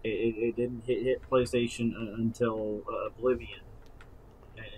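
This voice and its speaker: A man's voice: medium pitch, level tone, normal speed, darkish tone, low-quality recording. Stammering man with faint noise behind him. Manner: stammering, repeats words often. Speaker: podcast co-host. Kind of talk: casual gaming chat.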